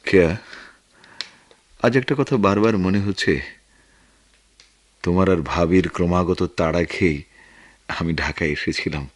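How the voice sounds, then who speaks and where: An actor with a Bangladeshi accent playing an earnest, wounded war veteran turned writer, speaking in a measured, literary radio drama manner. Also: male voice, deep voice, no room sound. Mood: tender, nostalgic. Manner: measured, reflective, literary delivery. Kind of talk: Bengali radio drama acting.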